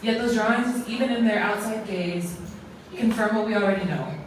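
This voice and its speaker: A woman's voice: mid-range voice, moderate pace, a little hiss or hum. A woman from American Samoa giving a formal, earnest closing speech at an arts awards event, often building her points as lists. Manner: earnest, ceremonial, speaks in lists. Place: large echoey museum hall. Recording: echoey hall, distant mic.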